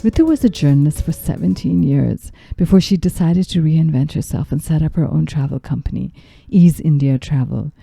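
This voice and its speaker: A podcast host who grew up in Zambia reads her introductions and memories from a script at a calm, steady pace. Her voice is very low and gravelly, with a deep, dark tone. The sound is close and completely dry. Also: dry recording. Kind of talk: scripted narration.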